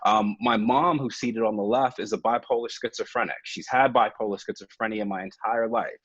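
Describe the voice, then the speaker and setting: A man's voice: lowish voice, steady tone, a little fast, a bit bright, breathy edge, no echo. A man in his forties giving an earnest, reflective webinar talk about his own life, with frequent um fillers. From United States. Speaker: webinar presenter. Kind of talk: personal storytelling presentation. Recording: dull, lo-fi webinar audio.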